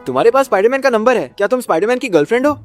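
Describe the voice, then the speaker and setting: Animated, awkward young guy. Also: very high voice, soft background music. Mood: nervous excitement. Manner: halting, eager. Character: awkward, excitable, starstruck young man. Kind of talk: dubbed video game cutscene acting.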